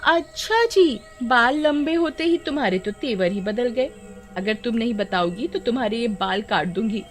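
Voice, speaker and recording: A woman voicing a bossy, mocking village girl who talks in taunting exclamations in an animated story. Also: fairly high pitch, moderate pace, soft background music, voice pushed up, lightly acted. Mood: threatening.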